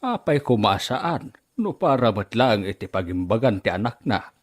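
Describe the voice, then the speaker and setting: A man's voice: lowish voice, big pitch swings, moderate pace. A man acting an earnest, serious family man in an Ilocano radio drama. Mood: stern. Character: men of a family drama: stern father, earnest suitor.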